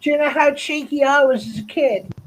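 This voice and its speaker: A woman's voice: high-pitched, brisk, slight background noise, low-quality recording. A woman chatting casually, telling personal anecdotes and laughing along, heard over a video call mic. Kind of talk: casual chat.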